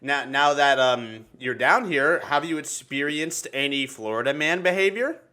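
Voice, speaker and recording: A young man hosting casual livestream banter, excitable and peppered with profanity. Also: a little high, moderate pace. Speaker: podcast host.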